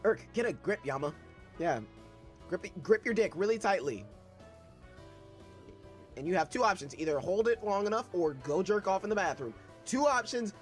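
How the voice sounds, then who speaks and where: A man's voice: high voice, animated, moderate pace, long pauses, faint music behind. A young man reading a novel aloud in first person, breaking off for irreverent, joking asides. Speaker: reader of a novel.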